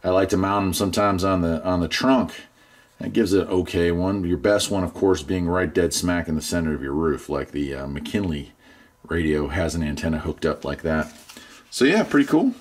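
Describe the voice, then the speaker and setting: A man's voice: sits low, normal speed. A middle-aged man chatting casually through a product unboxing in a laid-back, folksy way. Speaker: product reviewer, channel host.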